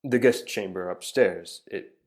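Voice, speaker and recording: A man's voice: sits low, measured pace, a little mellow. A man reading the part of a witness in a trial transcript, giving short, plain answers to questions. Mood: terse. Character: elderly male witness under questioning, terse.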